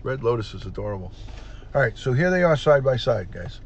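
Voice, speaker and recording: A man's voice: medium pitch, sing-song, fairly quick, creaky, lo-fi sound. Middle-aged guy on a livestream, congested and sniffly, chatting with viewers, plain mid voice, bit of noise behind. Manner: chatty, greets and answers chat viewers by name. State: congested, stuffed-up nose, sniffling. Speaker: retired police detective, livestream host. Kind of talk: casual livestream chat with viewers.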